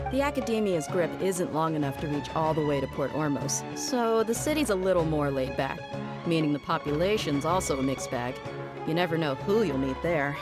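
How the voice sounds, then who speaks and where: A woman's voice: lowish voice, lightly acted. A woman playing a tough, pragmatic young bodyguard in a video game, blunt and casual in a streetwise way.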